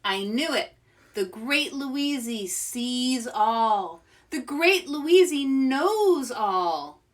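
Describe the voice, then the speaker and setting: A woman's voice: high voice, expressive, voice pushed up, heavily acted. A woman voicing a small, pompous female chipmunk who pretends to be a great fortune-teller in a children's storybook. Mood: triumphant. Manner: pompous, dramatic, drawn-out declarations.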